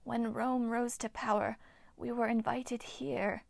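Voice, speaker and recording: A woman voicing a bitter, ancient female water nymph in a slow, hissing whisper. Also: fairly high pitch, level tone, gravelly, dry recording. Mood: reminiscing.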